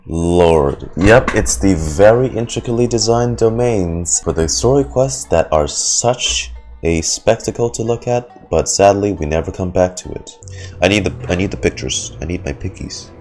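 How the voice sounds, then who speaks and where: Male streamer, low voice, casual game reaction, muttering, informal, sweary, faint music behind. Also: lively intonation, normal speed, darkish tone. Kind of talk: casual live reaction commentary.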